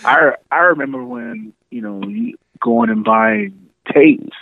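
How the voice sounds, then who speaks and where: A man's voice: high voice, sing-song, slow, gravelly, no room sound. Man on a tinny phone line. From New York City. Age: 30s. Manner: chatty, laughs while telling stories. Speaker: podcast guest. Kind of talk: casual phone interview.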